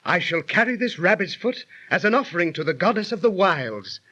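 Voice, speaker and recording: An actor playing a proud, pious ancient Greek traveller, declaiming archaic lines in 1930s radio drama style. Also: male voice, high-pitched, big pitch swings, brisk, sharp tone, heavily acted, low-quality recording. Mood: excited, proud.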